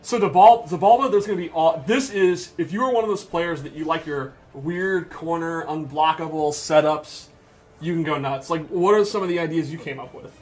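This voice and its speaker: Guy with a slightly high voice showing off a game, excited and explaining stuff, casual unscripted commentary. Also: normal speed, soft background music. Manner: enthusiastic, explanatory, emphatic. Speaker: presenter demonstrating gameplay.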